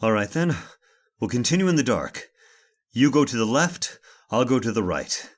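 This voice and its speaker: A man playing a wry, lively gentleman master. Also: medium pitch, moderate pace. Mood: wry, steady. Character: dry, unflappable gentleman master sheltering from a storm. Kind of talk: old-time radio horror acting.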